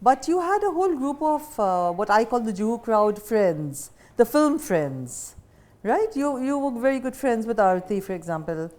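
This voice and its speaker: A woman's voice: on the high side, very animated, normal speed, darkish tone. A middle-aged woman with an Indian accent asking questions in a conversational interview.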